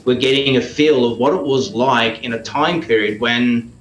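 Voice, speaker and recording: A man with an Australian accent giving conversational interview answers in a halting way, as if thinking aloud. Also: medium pitch, reverberant, faint music behind, low-quality recording. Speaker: radio play producer and performer, interview guest.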